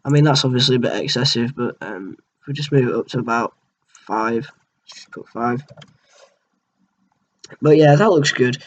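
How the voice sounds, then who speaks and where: A man's voice: medium pitch, normal speed, long pauses. Young man with a cold, rambling. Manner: rambling, hesitant, lots of ums and uhs. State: has a cold, keeps clearing his throat. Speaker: gaming channel host. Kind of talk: casual screen-recorded software tutorial.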